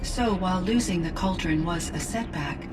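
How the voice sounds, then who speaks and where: A woman playing a gentle artificial intelligence in a video game, speaking in a formal, precise and measured way. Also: lowish voice, far from the mic, background noise, lightly acted. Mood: measured, earnest.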